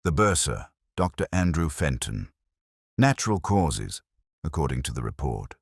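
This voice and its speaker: A man's voice: rumbling low, very animated, moderate pace, breathy edge, no room sound, clean studio sound, exaggerated voice. A man voicing a dutiful, practical police sergeant who reports in a clipped, matter-of-fact way in a detective audio story. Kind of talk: scripted detective audio drama.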